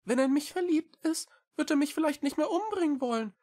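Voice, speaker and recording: Small male talking cat for an audiobook, female reader: very high, quick, earnest and politely pleading. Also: expressive, dark tone. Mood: shy, hopeful. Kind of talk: audiobook character voice.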